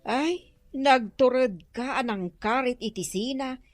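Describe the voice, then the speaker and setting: A reproving, high-voiced Ilocano mother. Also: unhurried, soft background music. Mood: reproachful. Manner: lecturing, reproachful. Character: reproving, no-nonsense older mother advising her daughter. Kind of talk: Ilocano radio drama acting.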